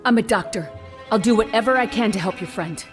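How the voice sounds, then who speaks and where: An actress playing a steady, dutiful female doctor in a video game cutscene, speaking in an earnest, clinical way. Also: mid-range voice, fast, music in the background, close to an everyday voice. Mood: pleading.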